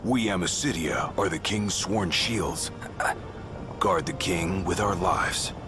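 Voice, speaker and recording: An actor playing a stern, loyal royal bodyguard in a video game, talking bluntly and commandingly. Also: male voice, low-pitched, slow pace. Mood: earnest, firm. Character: gruff loyal royal bodyguard, stern big-brother warrior. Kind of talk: dramatic video game acting. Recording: loud game music behind the voice.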